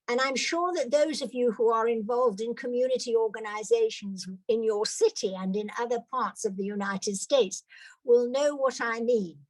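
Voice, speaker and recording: A woman's voice: a little high, no echo. An older British woman giving a personal talk to an audience, hesitant, with many fillers and restarts. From Britain. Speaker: guest speaker.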